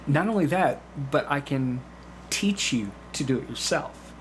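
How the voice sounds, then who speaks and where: A man's voice: a little high, animated, a little slow, low-quality recording. Man explaining in conversation, background noise. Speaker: podcast guest, actor and hypnotist. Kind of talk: conversational interview.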